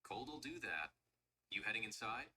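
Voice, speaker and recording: Man as bright, animated radio call-in host. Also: lowish voice, moderate pace, far from the mic, slight background noise, heavily acted. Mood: easygoing. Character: easygoing male late-night radio call-in host. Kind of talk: casual late-night radio call-in.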